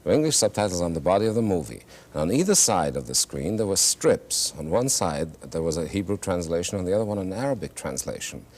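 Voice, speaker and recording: A man, an actor and singer whose first language is German, telling stories in a reflective, halting way with wry humor in a television interview. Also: low-pitched, very animated, a little mellow. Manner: thoughtful, halting with many restarts, wry humor. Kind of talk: reflective conversational storytelling. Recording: faint hiss, 1970s TV studio.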